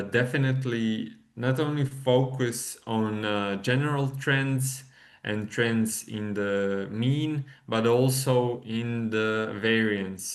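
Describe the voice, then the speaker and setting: Slow, halting man from Croatia. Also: lowish voice. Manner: slow, halting, many filler sounds. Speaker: conference presenter. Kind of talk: academic talk over video call. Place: video call. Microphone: computer mic on a video call.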